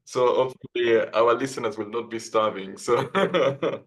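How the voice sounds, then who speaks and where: A man's voice: mid-range voice, normal speed, a bit bright, rough recording. A man from Italy, answering as a guest author on an online call in a hesitant, discursive conversational way.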